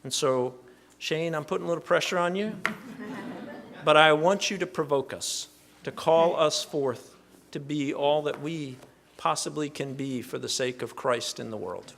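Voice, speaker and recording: A man's voice: fairly high pitch, vocal fry, a bit bright, a little hiss or hum. Earnest man introducing a keynote speaker. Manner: earnest, measured, a little halting. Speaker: event organizer introducing the speaker. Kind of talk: introduction speech.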